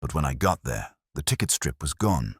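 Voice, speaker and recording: A man voicing a lively, composed nineteen-year-old female florist's assistant in a mystery story, casual and matter-of-fact. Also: a bass voice, moderate pace, breathy edge, no echo, studio quality, heavily acted.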